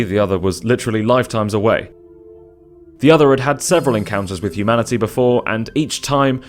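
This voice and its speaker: A man narrating a scripted audio drama in a dramatic storytelling style. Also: mid-range voice.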